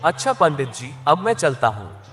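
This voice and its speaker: Man voicing a lively, higher-pitched village farmer. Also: moderate pace, faint music behind. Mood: respectful, curious. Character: simple, hardworking village farmer and husband. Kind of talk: animated Hindi moral-story voice acting.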